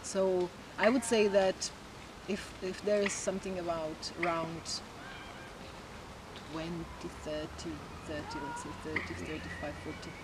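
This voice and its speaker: A woman in her forties from the Czech Republic, whose first language is Czech, talking reflectively with many pauses in an interview. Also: fairly low pitch, little pitch movement. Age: 40s. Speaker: guest. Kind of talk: casual interview. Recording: loud background noise.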